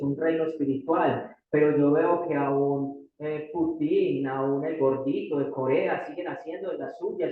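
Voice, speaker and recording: A Colombian man with a Paisa accent preaching a sermon as pastor, emphatic, repeating key phrases and putting rhetorical questions to the congregation. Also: fairly high pitch. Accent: Colombian Paisa Spanish. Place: church hall. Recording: distant echoey church room, phone-like thin sound.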